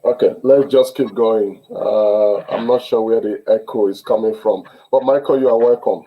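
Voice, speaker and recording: A man hosting an online interview over a video call, speaking haltingly with many fillers and restarts. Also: fairly low pitch, fairly even intonation, dark tone, poor audio. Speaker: host.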